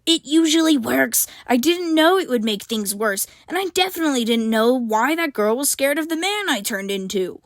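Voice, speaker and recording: A woman's voice: high voice, animated, normal speed, few pauses, dry recording, clean studio sound, pitched above the performer's own voice. A woman voicing a small, timid male satyr shapeshifter who whines and pleads. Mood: pleading. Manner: whiny, pleading, mumbling.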